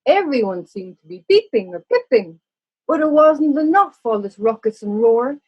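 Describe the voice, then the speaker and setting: Female children's story narrator, fairly high and husky, expressive, slightly muffled recording. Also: moderate pace.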